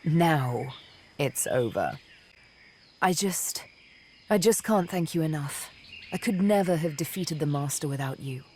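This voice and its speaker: An actress voicing a vengeful, seductive elf assassin in a video game, deliberate and teasing, often murmuring. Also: female voice, deep voice, animated, a little mellow, a little hiss or hum. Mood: tender, grateful.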